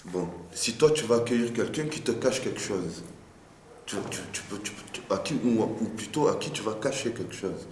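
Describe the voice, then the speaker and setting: A man's voice: on the low side, expressive, normal speed, darkish tone. A man talking casually in a street interview, repeating words and firing off rhetorical questions. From France. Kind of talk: casual, animated street talk. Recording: echoey, faint background noise.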